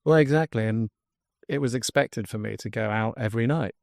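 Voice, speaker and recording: An English man, guest on a podcast interview, telling his story as a drinks brand founder in an earnest pitch. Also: brisk, vocal fry, darkish tone, dry recording, clean studio sound. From England.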